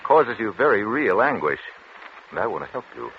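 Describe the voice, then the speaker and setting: An actor playing a grave, reassuring research doctor, measured and solemn in 1940s radio drama style. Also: male voice, lowish voice, very animated. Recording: muffled, noisy 1940s radio recording.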